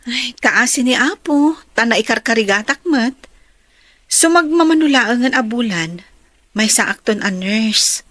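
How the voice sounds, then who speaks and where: A woman's voice: fairly high pitch, expressive, a little slow, a bit bright, dry recording. A young woman acting an earnest, dutiful nursing student in a radio drama. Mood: earnest, hopeful.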